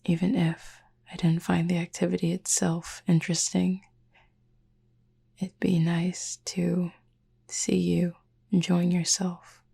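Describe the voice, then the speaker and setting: Woman acting a soft, gravelly, whispery cupid roommate. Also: on the low side, completely flat delivery, exaggerated voice. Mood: gentle, fond. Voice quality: breathy, husky, near-whisper. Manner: measured, formal wording with long pauses. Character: gentle, reserved, formally spoken cupid roommate, devoted and quietly curious. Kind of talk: slow, soft-spoken sleep-aid audio roleplay.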